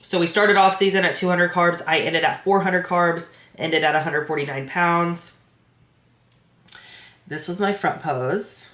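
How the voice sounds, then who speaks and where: A woman's voice: fairly low pitch, fairly even intonation, a little slow, fairly bright tone. Stuffy woman rambling to camera, lots of likes and ums, kinda echoey room. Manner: chatty, many "like" and "um" fillers. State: stuffy, congested nose. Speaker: bikini competitor and coach. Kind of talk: casual vlog recap to camera.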